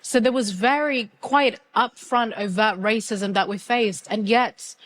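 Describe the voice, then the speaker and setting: A woman's voice: fairly high pitch, measured pace, poor audio. A young adult woman from Zimbabwe speaking candidly and reflectively as a guest in a live panel conversation on stage. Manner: earnest, candid, searching. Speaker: guest speaker, podcaster and author.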